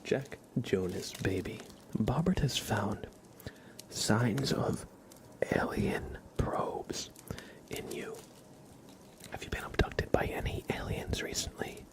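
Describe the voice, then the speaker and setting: A man hosts a live ASMR stream in a low, hushed voice. He takes his time, slipping often into whispers. His delivery is playful and deadpan, like a silly roleplay kept very quiet. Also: slow.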